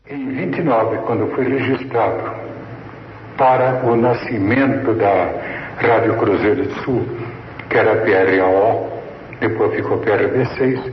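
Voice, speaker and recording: Male interviewee, Brazilian accent, lowish voice, reminiscing, very echoey muffled archival recording. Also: fairly even intonation, measured pace. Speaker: interviewee, radio veteran. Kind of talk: spoken reminiscence. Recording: echoey hall, muffled, faint noise.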